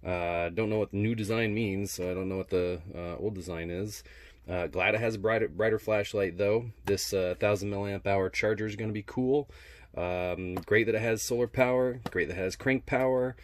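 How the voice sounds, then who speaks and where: A man's voice: fairly low pitch, moderate pace. A man doing a casual, laid-back unboxing narration as a product reviewer, speaking in colloquial language. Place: indoors at a wooden workbench.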